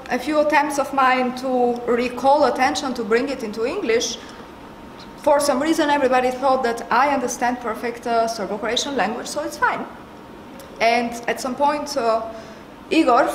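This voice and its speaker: A woman with an Albanian accent telling a personal anecdote with emphasis, as a member of a network. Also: high-pitched. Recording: echoey room, faint background noise.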